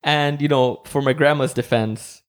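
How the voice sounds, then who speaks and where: A man's voice: mid-range voice, moderate pace. Rambling young man, casual chat. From Mexico. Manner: rambling, heavy use of "like", animated quoted voices. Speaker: podcast host.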